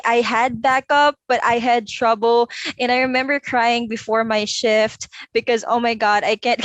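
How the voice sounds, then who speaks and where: A young woman speaks in a chatty, upbeat way, laughing often. Her voice sits fairly high. The recording is close and dry. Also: dry recording, low-quality recording. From Philippines. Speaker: interview guest. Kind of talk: casual online interview. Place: home room.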